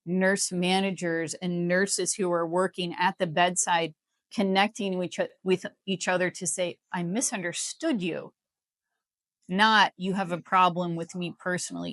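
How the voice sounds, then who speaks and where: A woman's voice: fairly low pitch, fairly bright tone, no echo. An American woman explaining things in a live interview as a guest, in an emphatic, persuasive manner. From Missouri, United States. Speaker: healthcare company CEO, interview guest.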